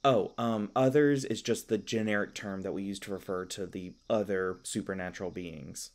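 A man acts out a youthful elf park ranger in a fantasy audio roleplay. His voice sits a little low. He talks casually and kindly, rambling and stammering with flustered, apologetic humor. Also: fairly even intonation, moderate pace, close to an everyday voice. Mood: chatty, sheepish.

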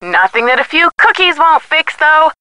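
A girlish young sidekick in a video game, playful and supportive. Her voice is high-set and bright, with very animated intonation. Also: normal speed, heavily acted. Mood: cheerful, supportive. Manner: chirpy, playful.

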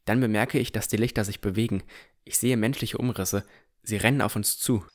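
A man's voice: lowish voice, steady tone, a little fast. A man narrating a fantasy audio drama in the first person from a script.

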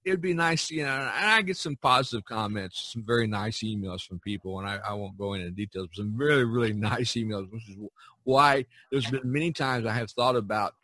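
A man hosting a casual chat, telling anecdotes in a rambling, halting way. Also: on the low side, bright, forceful tone, no echo. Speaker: podcast host.

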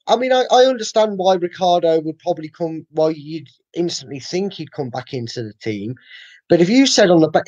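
A young man talks football casually and with firm opinions. His voice sits a little high yet has a dark, relaxed tone, recorded dry and slightly dull over a video call. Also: dry recording. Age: young adult. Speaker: fan channel co-host. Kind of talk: casual football post-match chat. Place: living room at home, indoors. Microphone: video call, webcam.

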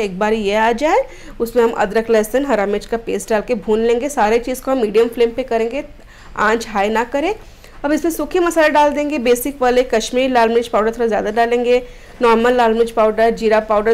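Higher-pitched woman explaining a recipe. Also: darkish tone. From Odisha, India. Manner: friendly step-by-step instructions, mixing English words. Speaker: cooking channel host. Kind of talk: recipe voice-over narration.

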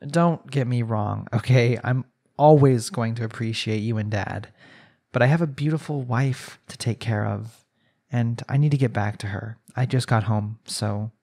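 Man acting young newlywed husband, gravelly edge. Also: medium pitch, moderate pace, a little mellow. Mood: reassuring, weary. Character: jealous young newlywed husband in an arranged marriage. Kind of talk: intimate audio roleplay, acted monologue.

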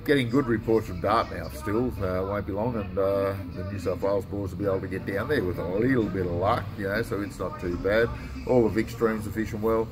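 A man's voice: fairly low pitch, fairly quick, rough recording. A man in his sixties with an Australian accent gives an informal report to camera in a laid-back, plain-spoken, colloquial way. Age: 60s. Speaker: tackle shop presenter. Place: indoors in a tackle shop.

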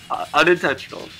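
A man's voice: high-pitched, bright, forceful tone, rough recording. A man in casual group banter during a game fan dub, wry and conversational.

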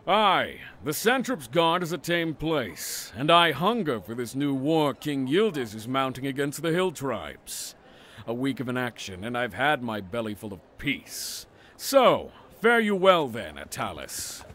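A man playing a bold, blunt barbarian warrior in a fantasy audiodrama, gruff and hearty. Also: mid-range voice, very animated, measured pace, fairly bright tone, slight background noise. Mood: restless, hearty.

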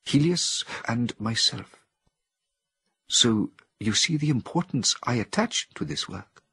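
A man voicing a learned male chimpanzee scientist who speaks solemnly and with excitement, in an audiobook reading. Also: medium pitch, slow, creaky, breathy edge, no room sound, studio quality, voice pushed up, exaggerated voice. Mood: excited.

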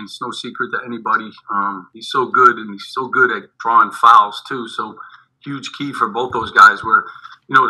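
A middle-aged man, a head basketball coach, answering postgame questions over a video call, halting and repeating his words, with many fillers. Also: mid-range voice, sharp tone, poor audio. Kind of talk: postgame press conference answers. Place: indoors in front of a team backdrop. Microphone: video call webcam.

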